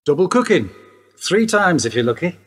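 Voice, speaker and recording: An actor playing a gentle, sympathetic male detective sergeant in a radio play. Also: on the high side, big pitch swings, moderate pace, slightly rough, big-room sound, heavily acted.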